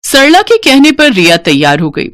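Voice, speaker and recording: A woman narrating a scripted story in an animated storyteller's style. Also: high voice, normal speed, dry recording.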